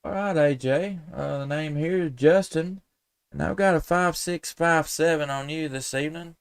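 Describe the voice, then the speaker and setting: A man's voice: fairly high pitch, moderate pace, gravelly, smooth. A man working as an amateur radio operator on a live stream, folksy and courteous, using radio jargon and spelled-out call signs. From southern Middle Tennessee, USA. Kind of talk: ham radio calling and casual contacts, live streamed.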